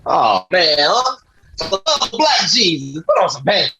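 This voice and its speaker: A man's voice: high voice, very animated, measured pace, bright, forceful tone, slight background noise, heavily acted, rough recording. A man playing a blunt, exasperated younger nephew in an acted script reading, with a dry manner. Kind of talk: acted table read.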